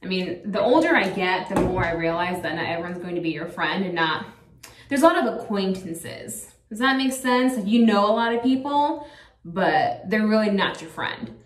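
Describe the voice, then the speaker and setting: A woman's voice: medium pitch, animated, moderate pace. Rambly chatty woman in her 30s, lots of ums, kinda far from the mic in an echoey room. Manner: rambling, chatty, many fillers. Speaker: vlogger. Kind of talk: casual chat to camera.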